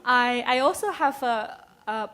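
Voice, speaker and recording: A woman's voice: on the high side, lively intonation, a little slow, pushed and bright. A woman on a conference panel, putting a question to another panelist in non-native English and laughing often. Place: conference room.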